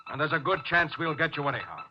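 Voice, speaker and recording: Brooding starship captain, swinging wildly in pitch. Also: male voice, sing-song, dull audio. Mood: defiant, urgent. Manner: halting, musing, then barking orders. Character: tense, brooding male starship captain, blustering under strain. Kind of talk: 1950s radio drama acting. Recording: noisy, band-limited 1950s radio recording.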